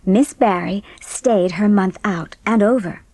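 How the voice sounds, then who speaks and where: A woman's voice: mid-range voice, unhurried, dark tone, dry recording. A woman reading scripted storybook narration as the narrator of a dramatised audiobook.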